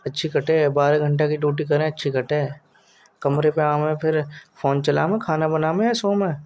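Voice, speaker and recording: A man's voice: on the high side, steady tone, normal speed, darkish tone. A young man chatting casually and teasingly on a recorded phone call. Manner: teasing, goading. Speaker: caller chatting with partner.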